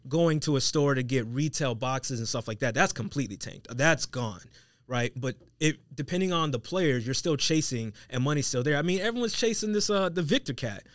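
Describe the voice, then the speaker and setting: A man's voice: medium pitch, expressive, fairly quick, no echo, clean studio sound. An American man chatting casually on a podcast in a slangy, upbeat and emphatic way. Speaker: podcast guest who works with an online selling platform.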